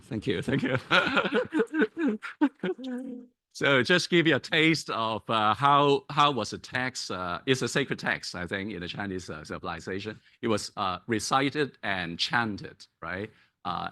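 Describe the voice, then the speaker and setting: A male academic whose first language is Cantonese lectures at an unhurried pace. His voice is bright, and he keeps restarting phrases and filling gaps with "uh" as he turns to the audience with "right?". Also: on the low side, very animated. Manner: hesitant, many fillers and restarts, checks in with "right?". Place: lecture hall.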